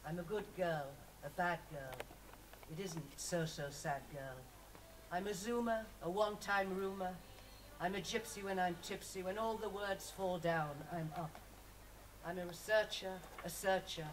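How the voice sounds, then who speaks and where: A woman's voice: on the low side, a little slow. A woman reciting her own poems to an audience at a live reading. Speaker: poet. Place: live festival reading, picked up from a distance. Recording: noisy live recording, distant mic.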